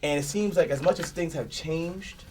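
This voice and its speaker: A man's voice: a little high, moderate pace, a little hiss or hum. A man, a candidate making his case earnestly in a panel discussion. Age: 20s. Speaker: council candidate guest. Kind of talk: political discussion.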